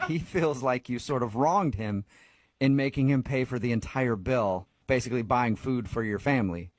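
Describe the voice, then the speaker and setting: A man hosting a radio show, calmly explaining things in a phone conversation. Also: mid-range voice, normal speed, no room sound, studio quality. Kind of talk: prank call conversation on radio.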